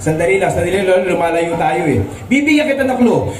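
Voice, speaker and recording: A man with a high voice hosts a live puppet show for children. He coaxes and instructs in repetitive comic patter. The recording is heavily echoing and distant. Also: lively intonation, brisk, slight background noise. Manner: coaxing, instructing, repeats himself. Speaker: puppeteer and children's party host. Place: live children's birthday party. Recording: echoey live recording, mic far off.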